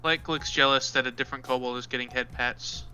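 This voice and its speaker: A man's voice: mid-range voice, steady tone, fairly quick, bright, forceful tone, faint music behind. A man playing a tabletop game with friends, blunt and joking. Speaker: player. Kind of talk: casual tabletop play.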